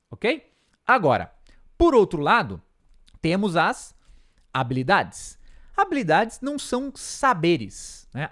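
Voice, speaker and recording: A man with a Brazilian Portuguese accent gives a patient, methodical English lesson to camera. His pitch swings widely and animatedly as he lays out each point. He keeps checking in with quick tag questions, as if pointing at notes on a board. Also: a bit bright. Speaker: English teacher. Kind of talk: explanatory video lesson.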